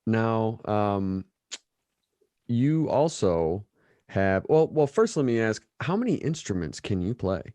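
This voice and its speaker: American man, lively pitch, very dry sound. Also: takes it slowly, no room sound. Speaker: podcast host. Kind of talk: casual interview chat. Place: small indoor room. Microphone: desk mic up close, headphones.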